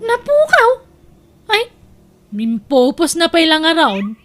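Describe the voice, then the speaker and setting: Very high, gossipy cousin played by a woman. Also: huge pitch swings, takes it slowly, slight background noise, heavily acted. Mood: surprised. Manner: inquisitive, gossipy. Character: nosy, chatty, teasing cousin. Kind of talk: Ilocano audio drama acting.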